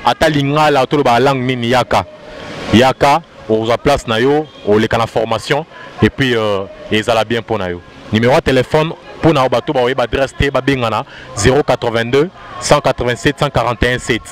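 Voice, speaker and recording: A man whose first language is Luba speaks with a Congolese French accent, his voice sitting at an ordinary middle pitch. He talks as a guest in a relaxed outdoor interview, sliding between French and Lingala and repeating lines for emphasis. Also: a little fast. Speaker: guest musician. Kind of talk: casual interview chat. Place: outdoors at a busy central station.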